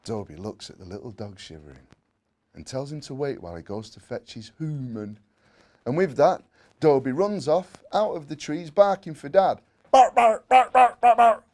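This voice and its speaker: Animated man reading a children's story. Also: mid-range voice, moderate pace. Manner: expressive, with playful asides. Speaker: story reader.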